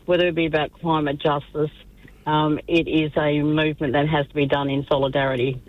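A woman's voice: sits low, level tone, a little fast. A woman candidate speaking earnestly in a phone-in radio interview, with some fillers. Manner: earnest campaign speech with fillers. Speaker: election candidate, guest. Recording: tinny dry phone line.

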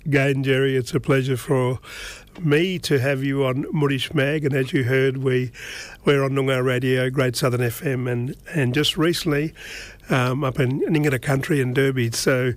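A man hosting a community radio interview, easygoing and unhurried, with many fillers and restarts. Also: medium pitch, no echo. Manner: relaxed, warm, many fillers. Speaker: radio host.

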